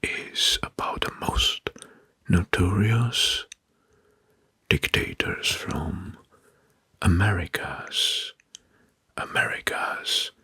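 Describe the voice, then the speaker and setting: A man narrating history as ASMR in a breathy, hushed whisper, deliberate and gently repetitive. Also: deep voice, expressive, no echo. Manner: slow, deliberate, often repeating names and phrases softly.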